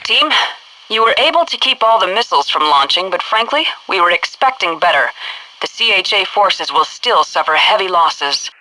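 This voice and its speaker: An actress playing a composed, commanding headquarters officer who gives crisp, businesslike mission orders over military radio in a video game. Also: female voice, fairly low pitch, lively intonation, moderate pace, sharp tone, slight background noise, poor audio. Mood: grave, disappointed.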